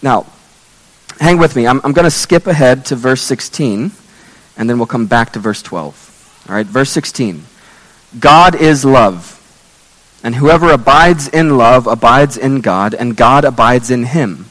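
Hoarse male preacher in a reverberant hall. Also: medium pitch, lively intonation, a bit bright. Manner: earnest, measured preaching with scripture readings. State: losing his voice. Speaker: pastor. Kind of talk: sermon.

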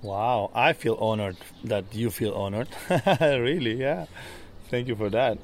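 Man, Peruvian Spanish accent, native Spanish speaker, halting casual English, mid pitch, faint outdoor noise. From Peru. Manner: loose, halting non-native English with laughs. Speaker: whitewater guide and interview guest. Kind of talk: casual interview answers. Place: rooftop outdoors in an old city. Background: faint outdoor street and bird sounds.

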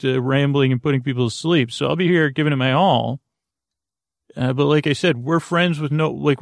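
A man hosting a bedtime podcast in a rambling, halting monologue, often interrupting himself and wandering off, with a creaky voice. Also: medium pitch, no echo, clean studio sound. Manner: meandering, halting, self-interrupting, digressive. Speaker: podcast host.

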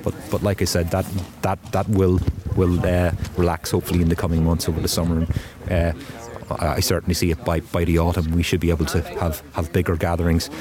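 Rescue crewman, very deep voice, halting delivery, crowd noise behind. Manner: halting, repeats words often. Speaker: search and rescue helicopter crew member. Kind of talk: outdoor interview. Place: outdoor event with crowd.

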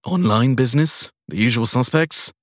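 A man's voice: mid-range voice, expressive, normal speed, dry recording, clean studio sound, voice pushed up, lightly acted. A man voicing a weary, guarded courier in a crime audiobook, answering briefly and hesitantly to placate authority. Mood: guarded, casual. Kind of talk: audiobook crime story character voice.

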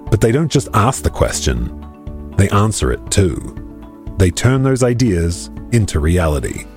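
Lowish guy doing an upbeat ad read, lots of swing in his voice. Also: sing-song, measured pace, darkish tone, soft background music. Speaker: ad announcer. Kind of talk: scripted advertisement.

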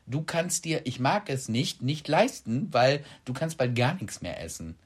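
A man co-hosting a podcast in casual chat, tossing in quick remarks and retelling his own anecdotes. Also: mid-range voice, sing-song, breathy edge. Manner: chatty, quick interjections, retells own quotes. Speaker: podcast co-host.

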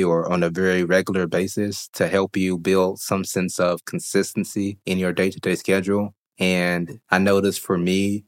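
Low, flat-voiced man recovering from a cold. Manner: calm, reflective, thinks aloud with fillers. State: getting over a cold. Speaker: podcast host. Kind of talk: solo podcast talk.

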